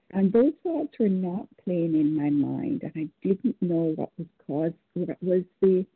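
Canadian woman on a call, husky, dry, earnest and hesitant, lots of ums. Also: lowish voice, dry recording. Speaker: meeting participant. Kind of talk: sharing at a phone support meeting. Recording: phone line.

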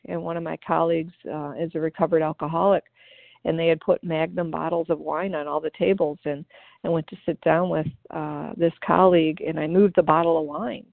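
Earnest woman, low gravelly voice, halting grateful share over a tinny dry phone line. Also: dry recording. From Ohio, US. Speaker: meeting member sharing. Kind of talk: personal recovery share. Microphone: phone call.

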